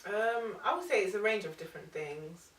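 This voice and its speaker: A woman in her twenties in a casual panel chat, talking quickly and reflectively with plenty of fillers. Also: mid-range voice, animated. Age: 20s. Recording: echoey room, distant mic, faint noise.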